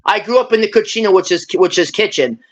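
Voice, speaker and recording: A man's voice: sits high, lively intonation, fast talker. Chatty man with dull lo-fi sound. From Brooklyn, New York. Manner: chatty, repeats himself, drops Italian words. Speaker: guest. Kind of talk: casual live-stream chat.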